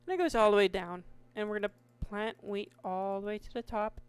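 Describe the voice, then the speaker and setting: A woman with a hoarse voice giving casual, meandering commentary over her Minecraft gameplay, restarting sentences often. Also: mid-range voice, no room sound. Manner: slow, meandering, with many restarts and fillers. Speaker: Minecraft YouTuber.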